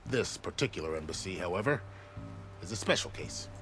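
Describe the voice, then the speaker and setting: An actor playing a genial, wry second-in-command army officer in a video game, courteous and gently teasing. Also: male voice, deep voice, expressive, brisk. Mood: wry, explanatory. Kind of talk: video game cutscene acting. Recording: music behind the voice.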